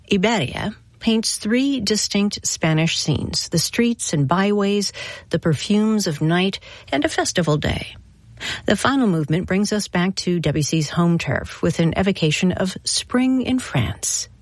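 A woman hosting a classical music radio show, reading scripted introductions in a measured storytelling manner. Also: medium pitch, expressive, dry recording.

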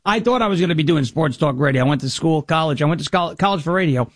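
An American man with a raspy voice from New York, hosting a talk radio show in an emphatic, jokey way. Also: fairly high pitch, expressive, fast talker, no room sound, studio quality. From New York, United States. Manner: emphatic, jokey, slips into impression bits. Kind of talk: political talk show monologue with comic bits.

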